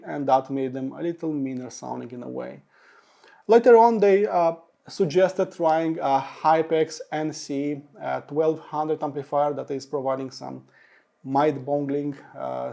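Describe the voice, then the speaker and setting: A man's voice: a little high, creaky, mellow. A man giving a product review monologue as an audio reviewer, speaking in emphatic but hesitant phrases.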